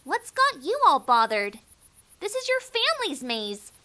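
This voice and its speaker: A woman voicing an excitable, snarky and nervous young pony filly in a fan audio drama. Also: very high voice, measured pace, exaggerated voice. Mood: smug, teasing.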